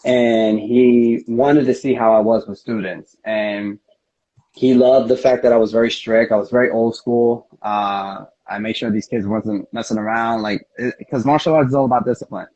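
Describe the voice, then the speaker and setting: A man's voice: mid-range voice, fairly even intonation, normal speed, a little mellow. A man speaking casually as a fighter being interviewed, telling things in an earnest, rambling way. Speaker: MMA fighter, interview guest. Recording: dull lo-fi audio.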